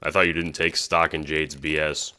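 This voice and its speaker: A man's voice: very deep voice, level tone, normal speed, noisy background. A man playing a sarcastic, foul-mouthed teenage boy in an audio drama, talking in casual, hesitant slang. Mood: deadpan disbelief.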